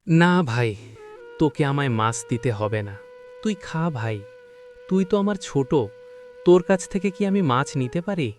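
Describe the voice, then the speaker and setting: A man voicing a poor, kind village cowherd boy in a cartoon story, earnest and soft-hearted. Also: a little high, animated, normal speed, long pauses, close to an everyday voice. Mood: gently refusing. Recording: music behind the voice.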